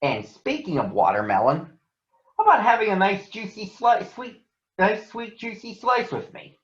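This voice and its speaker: A folksy old-school farmer bear from a children's storybook, acted by a man reading aloud. He gives wise advice emphatically, with a lively, swooping pitch. The sound is dull and roomy. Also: moderate pace. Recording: dull, echoey room.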